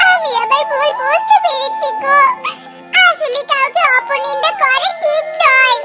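A performer voicing a distressed, pleading female fox wife in a children's cartoon, with exaggerated, drawn-out speech. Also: very high-pitched, full character voice. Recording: loud music under the voice. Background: loud children's music.

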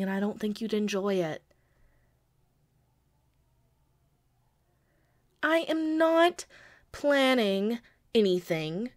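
A woman playing a quirky, sheepish young girlfriend who pleads and defends herself hesitantly in an intimate audio roleplay. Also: lowish voice. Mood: evasive. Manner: hesitant, pleading, halting with pauses. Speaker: amateur audio roleplay voice actor.

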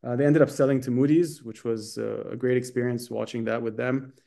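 Mellow-voiced man with international accent. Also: mid-range voice, steady tone, creaky. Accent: Dubai international, American-schooled. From Saudi Arabia. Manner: quick, fluent, with frequent fillers and restarts. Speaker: guest, startup accelerator executive. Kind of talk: casual interview answers. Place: bright room indoors. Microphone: video call webcam.

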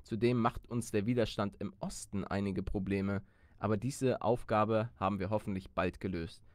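A man voicing a stern, formal game villager who reads out messages in a measured manner. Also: fairly low pitch, steady tone, dry recording, pitched below the performer's own voice, lightly acted. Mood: solemn, formal.

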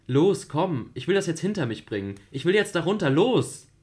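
A man's voice: big pitch swings, moderate pace, husky. A man voicing Julie, a reckless, mocking teenage girl, also reading the stage directions of a radio play. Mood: taunting. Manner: taunting, shouting, high-pitched outbursts.

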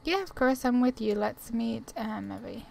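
A woman's voice: a little high, expressive, normal speed, vocal fry, background noise. A woman giving casual, chatty commentary as she plays through a video game. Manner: chatty, reads dialogue options aloud. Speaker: gaming video creator. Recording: game music playing behind voice. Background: game music.

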